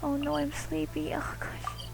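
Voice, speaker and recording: A woman's voice: sits high, completely flat delivery, unhurried, gravelly, whispered, rough recording. A woman giving casual gameplay commentary, muttering short, fragmented reactions. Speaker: gamer. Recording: game music behind the voice.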